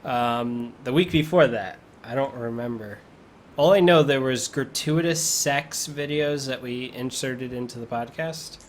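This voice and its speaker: A man's voice: medium pitch, measured pace, a little hiss or hum. A man hosting a casual gaming podcast, chatty and teasing his co-hosts. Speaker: podcast host.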